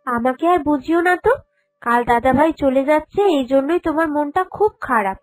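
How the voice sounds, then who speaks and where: A woman's voice: high-pitched, moderate pace, close to an everyday voice. A woman voicing a caring, outspoken young sister-in-law in a cartoon, coaxing and forthright. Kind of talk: cartoon character dialogue. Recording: music behind the voice.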